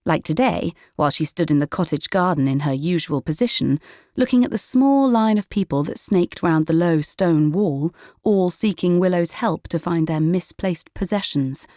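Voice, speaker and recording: A woman narrating a children's story in a wry, measured way. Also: fairly low pitch, mellow, no echo, clean studio sound. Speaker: audiobook narrator.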